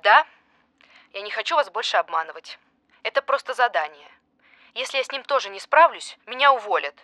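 A woman's voice: fairly high pitch, animated, fairly quick, pushed and bright, no room sound. An actress playing a pushy, desperate female journalist in an audio drama, politely insistent in her questioning. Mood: frank, pleading. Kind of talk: naturalistic audio drama acting.

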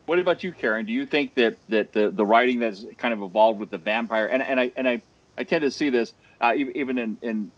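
A man's voice: mid-range voice, fairly quick. A man on a headset at home moderates a video call panel. He rambles through long questions full of restarts and fillers. The call audio sounds dull and muffled. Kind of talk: casual panel discussion. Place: home room. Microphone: headset over video call.

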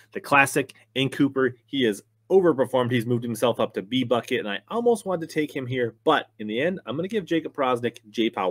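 A man's voice: mid-range voice, no echo. Upbeat man breaking down fishing picks. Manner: upbeat, conversational, talks with his hands. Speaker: fishing channel host. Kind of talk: casual fantasy fishing picks rundown. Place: small home studio with wood-plank wall.

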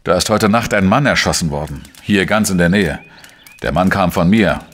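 Sigi Baumeister, low-voiced weary journalist. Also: male voice, moderate pace, a bit bright. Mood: sober, troubled. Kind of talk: crime radio play, narration and dialogue.

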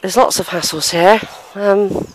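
A middle-aged woman talks to her handheld camera outdoors in a country churchyard. Her voice sits at an ordinary pitch for a woman. She muses and hesitates, sighs, and stops now and then to read old inscriptions aloud. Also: measured pace, a little hiss or hum, rough recording. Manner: musing, hesitant, sighs, reads gravestones aloud. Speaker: family history vlogger. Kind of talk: casual walking vlog, thinking aloud. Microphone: handheld camera, unseen narrator behind it.

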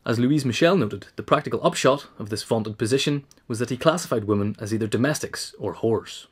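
A man's voice: mid-range voice, fairly quick, husky. A man reading scripted narration, as in an audio essay. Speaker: reader.

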